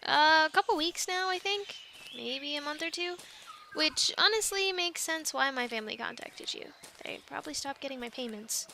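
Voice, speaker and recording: A woman playing a plucky young island castaway in an ASMR roleplay, chatting in an animated, halting, stammering way. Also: high voice, gravelly, a little hiss or hum, exaggerated voice. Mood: awkward, apologetic. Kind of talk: ASMR roleplay, conversational one-sided dialogue.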